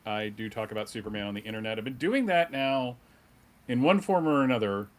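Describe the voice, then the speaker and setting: A man's voice: medium pitch, brisk. A man around fifty, a podcaster on a panel, talking casually in a wry, self-deprecating way with stammered starts. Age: 50s.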